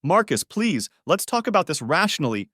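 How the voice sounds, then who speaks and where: A frightened young wife pleads through tears in a high, strained voice, as a male narrator puts her on. Her lines carry a desperate, begging edge. The sound is dry and close. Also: very animated, normal speed, a bit bright, no echo. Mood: pleading. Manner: pleading, sobbing.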